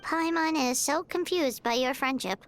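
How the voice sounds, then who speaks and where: A woman voicing a tiny, childlike fairy companion with a squeaky voice, excitable and easily puzzled, in an anime-style game. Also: normal speed, soft background music, natural voice. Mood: confused. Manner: speaks of herself in third person.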